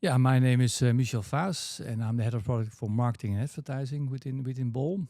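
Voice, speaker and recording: A middle-aged Dutch man with a Dutch accent, a podcast guest who chats in a relaxed way and often repeats words while he searches for them. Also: mid-range voice, fast, dry recording, studio quality. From Netherlands. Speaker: guest, head of product. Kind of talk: casual podcast conversation.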